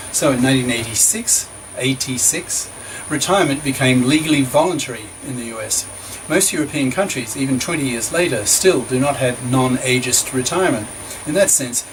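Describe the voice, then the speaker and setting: An older man reading his own book aloud, measured and opinionated, now and then restarting a phrase. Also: medium pitch, background noise.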